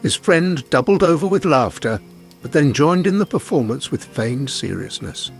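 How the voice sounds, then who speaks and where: A man tells a folk tale as a dramatic narrator. His delivery is expressive and theatrical, with lively, varied intonation over music. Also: fairly high pitch, moderate pace, vocal fry. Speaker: storyteller narrator.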